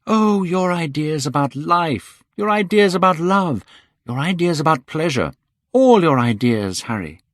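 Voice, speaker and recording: A man voicing an excitable, lovestruck young man, speaking high and eager in a storybook reading. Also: measured pace, dry recording, clean studio sound.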